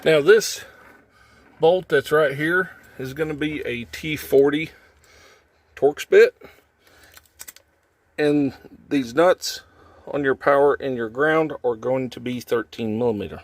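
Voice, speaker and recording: A man with a medium-pitched voice talks through a hands-on job. His phrasing is folksy and informal, in the casual style of a homemade tutorial, explaining each step while he works. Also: takes it slowly, pauses often, dry recording. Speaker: how-to video host, trucker. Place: in and around a truck cab.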